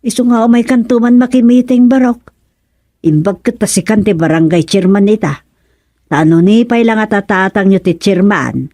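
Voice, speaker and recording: Lady playing gossipy ilocano villager in a radio comedy, mid pitch, very dry recording. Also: normal speed, mellow, no echo, natural voice. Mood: insistent.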